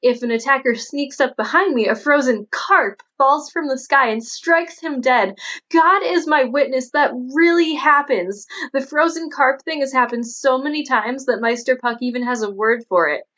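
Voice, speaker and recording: A woman's voice: sits high, moderate pace, a bit bright, voice pushed up. A woman voicing an eccentric, devout young woman in an audiobook, guileless and matter-of-fact about absurd claims. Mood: earnest, insistent. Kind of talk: audiobook character dialogue. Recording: dull lo-fi audio.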